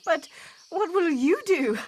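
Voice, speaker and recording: A woman's voice: very high-pitched, animated, slow pace, darkish tone, heavily acted. A woman playing an earnest, anxious young lady seeking help in a live radio drama parody. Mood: pleading. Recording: live stage recording with background noise.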